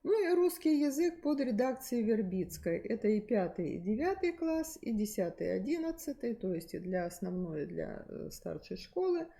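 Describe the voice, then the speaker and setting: A middle-aged woman talks through a set of textbooks for a room of teachers, thinking aloud and pausing on fillers as she goes. Her voice is mellow and warm, sitting at an ordinary middle pitch. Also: big pitch swings, gravelly. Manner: hesitant with many fillers and restarts, explanatory. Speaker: presenter at a teachers' methods meeting. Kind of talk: spontaneous presentation of textbooks.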